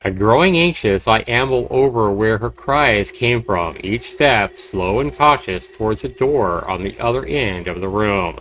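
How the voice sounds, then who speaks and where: A man livestreaming a horror game, reading dramatically and commenting casually, with loud game sound behind his voice. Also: fairly low pitch, lively intonation, measured pace, hardly any pauses, low-quality recording. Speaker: game streamer. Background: horror game audio.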